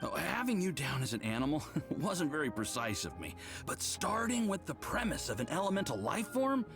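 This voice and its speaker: A man's voice: on the high side, big pitch swings, moderate pace, creaky, music in the background. An actor playing an earnest, deferential young male research assistant. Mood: eager.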